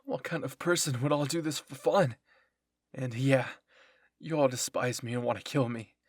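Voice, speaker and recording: A man's voice: medium pitch, steady tone, slow, creaky, slightly rough, no echo, clean studio sound, heavily acted. A man voicing an anxious, guilt-ridden young male soldier in an anime fan-fiction reading, pleading and emphatic. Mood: anguished pleading.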